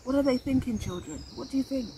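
An older woman teacher reading a picture book to young children expressively, pausing to explain and ask questions. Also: high-pitched, creaky. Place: outdoors in a leafy garden. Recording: outdoor garden recording with birdsong and noise.

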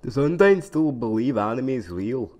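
A man's voice: medium pitch, lively intonation, normal speed, dark tone. A man casually reading out fan questions for a comic dub.

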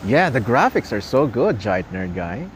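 Chatty streamer, lively up-and-down voice. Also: male voice, mid-range voice, moderate pace. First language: Tagalog. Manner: chatty, laughs often, repeats words. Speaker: live streamer. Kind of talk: casual chat with viewers. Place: outdoors at a gas station at night. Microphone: phone camera at arm's length. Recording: faint outdoor noise. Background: traffic and city ambience.

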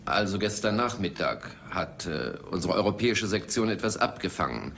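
Lowish-voiced, animated intelligence officer. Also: male voice, moderate pace, creaky, slight background noise, heavily acted. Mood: earnest, official. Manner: formal, official. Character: earnest, secretive military intelligence officer. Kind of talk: German film dubbing.

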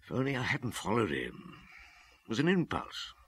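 A world-weary police detective in a radio play. His low voice moves widely as he speaks, brooding and halting, as if weighed down by guilt. The sound is close and dry. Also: huge pitch swings, fairly bright tone, slightly rough, no room sound, heavily acted. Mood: haunted, reflective. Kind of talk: radio drama acting.

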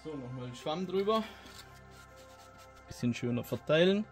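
Relaxed man in his 40s. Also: a little high, normal speed, soft background music. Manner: relaxed, hesitant with fillers, explaining as he works. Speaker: DIY video host. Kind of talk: casual workshop tutorial. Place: home garage workshop, indoors. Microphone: camera mic, close then farther as he works on the seat.

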